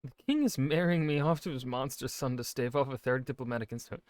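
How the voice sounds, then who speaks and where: A man voicing a plucky, dryly sarcastic young shepherdess bride from a fairy tale, deadpan and sassy. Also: mid-range voice, fast, no echo, studio quality, natural voice. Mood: glum.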